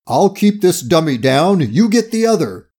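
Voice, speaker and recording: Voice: mid-range voice, very animated, measured pace, dark tone. A narrator as a firm, tough storybook dog. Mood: determined. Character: tough calm male dog, gruff protective big guard.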